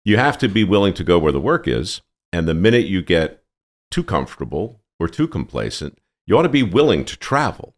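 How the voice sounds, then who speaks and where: A male podcast host in his sixties chatting in a small studio, relaxed and joking, into a desk microphone. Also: deep voice, fairly quick. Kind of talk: casual studio chat. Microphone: desk mic on boom arm.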